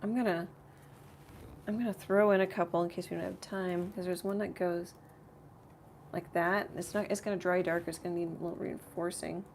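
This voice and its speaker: A woman with a slightly rough voice hosting a casual live painting tutorial, thinking aloud in a rambling way as she works. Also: on the low side, steady tone, normal speed, darkish tone, a little hiss or hum. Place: home art studio.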